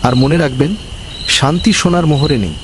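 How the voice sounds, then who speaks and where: A man's voice: fairly high pitch, brisk, noisy background, close to an everyday voice. A man voicing a simple, honest poor villager in a cartoon, speaking politely and earnestly. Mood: earnest, forgiving. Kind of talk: earnest cartoon story acting.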